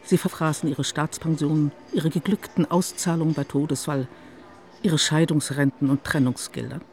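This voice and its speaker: A man's voice: a little high, fairly even intonation, moderate pace, darkish tone. A man narrating a literary radio drama from a script.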